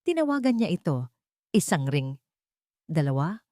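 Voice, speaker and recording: A woman's voice: low-pitched, sing-song, unhurried, a little mellow, no echo, clean studio sound. A woman with a Filipino accent, narrating a dramatic scripted audiobook story.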